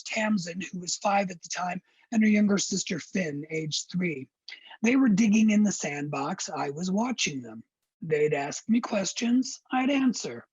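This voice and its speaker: A middle-aged man speaks through a heavy rasp, his voice very husky and airy. He tells a personal story with wry candor at a steady, measured pace. The recording is dry and dull, with a lo-fi sound. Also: sits high, no room sound. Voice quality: raspy, breathy voice after throat surgery. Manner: wry, candid, measured delivery. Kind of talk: personal storytelling to a virtual audience.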